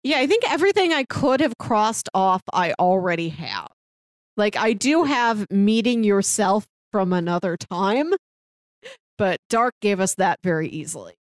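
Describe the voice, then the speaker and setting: A woman's voice: medium pitch, animated, measured pace, dry recording, clean studio sound. A woman co-hosting a podcast, chatting casually about films with her fellow host. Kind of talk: casual film review chat.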